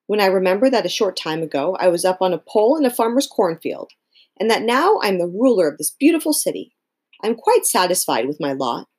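Casting: thoughtful storybook scarecrow king, performed by a woman, measured and practical, lively intonation, slightly husky. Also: medium pitch. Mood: contented. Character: living scarecrow ruler, male, thoughtful, contented, practical.

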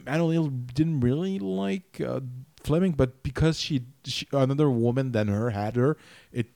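A man co-hosting a podcast, discussing a miniseries in casual chat, halting and thinking aloud as he goes. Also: mid-range voice, creaky, warm tone. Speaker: podcast co-host.

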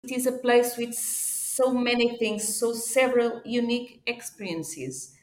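A woman's voice: a little high, a little slow, darkish tone. A woman with a Portuguese accent, answering as an interview guest with careful phrasing, searching for words in a second language. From Portugal, born in Africa. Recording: echoey room sound.

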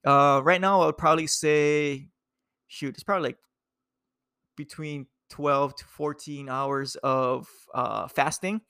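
A man's voice: fairly high pitch, fairly even intonation, unhurried, bright, forceful tone, smooth, dry recording, clean studio sound. A young man from Hawaii, a nutrition coach, talking casually to viewers in a laid-back, rambling way full of fillers and "dude".

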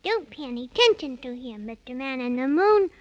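Plucky six-year-old boy for 1930s children's radio drama: very high, animated, earnest, childlike. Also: fairly quick, muffled recording. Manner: childlike, earnest scolding and pleading.

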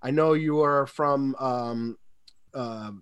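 A man's voice: mid-range voice, slow pace, fairly bright tone, smooth, no echo, muffled recording. A man hosting a podcast, upbeat and casual, with lots of ums as he sets up his questions. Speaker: podcast host.

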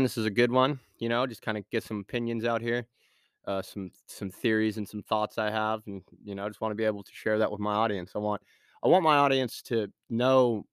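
A man with a lowish voice, a little scratchy from a sinus infection, talks through a solo podcast episode in a studio. He rambles casually, restarts his sentences and leans on fillers. The sound is clean and smooth, close and dry with no room around it. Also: no echo. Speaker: podcast host.

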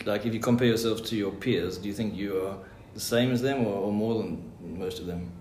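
A man's voice: lowish voice, fairly quick, darkish tone. A man working as a teacher, casually interviewing a group of students with informal questions. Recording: roomy echo, faint background noise.

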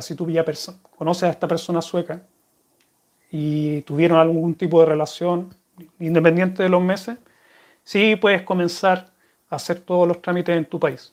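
A man's voice: sits high, fairly even intonation, moderate pace. A man with a Chilean accent, chatting casually to viewers and answering their questions in a rambling, friendly way. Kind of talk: casual chat to camera.

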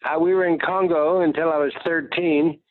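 A man's voice: on the high side, fairly even intonation, moderate pace, dry recording. Retired general telling his story by phone. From United States. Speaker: guest. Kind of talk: casual interview talk. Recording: tinny phone line, dry.

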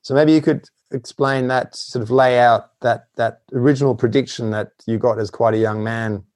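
A man's voice: mid-range voice, fairly even intonation, normal speed, darkish tone, dry recording, studio quality. A man conducting a conversational interview, tentatively rephrasing his question as he leads the guest along.

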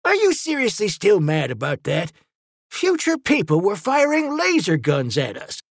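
Storybook hero: male narrator as an indignant old monster-fighter, raised pitch, urgent, swooping delivery. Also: expressive, brisk, creaky, husky, no echo, exaggerated voice.

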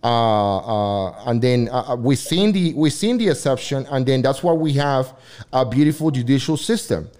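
Man, casual chat host, rambling, keeps saying you know, swears, mid-pitched voice. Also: lively intonation, moderate pace, a little mellow.

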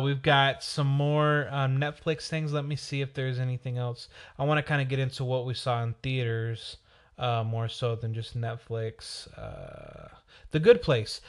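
A man hosting his show solo, talking in a rambling, chatty way and correcting himself, his voice raspy from a cold. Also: mid-range voice, a bit bright. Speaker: podcast host. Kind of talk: casual solo chat.

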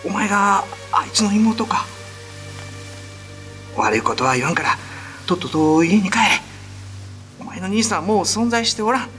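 Man acting a trembling, stammering frightened villager, high-strained. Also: animated, moderate pace, long pauses, a bit bright, soft background music. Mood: frightened, urgent. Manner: stammering, urgent, rough-spoken. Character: frightened villager man warning a girl away. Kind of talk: Japanese radio drama horror acting.

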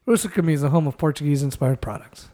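Man, sleepy, high voice, joking podcast banter. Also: quick pace, mellow. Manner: joking, trails off with "anyways". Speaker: podcast co-host presenting the episode.

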